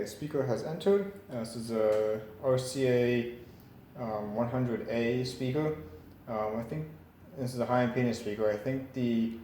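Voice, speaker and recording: A man's voice: mid-range voice, mellow. A man giving a casual hands-on demonstration, tentative and thinking aloud as he works. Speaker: vintage radio repair hobbyist narrating. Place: indoor room at a cluttered workbench. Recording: echoey room with faint background noise.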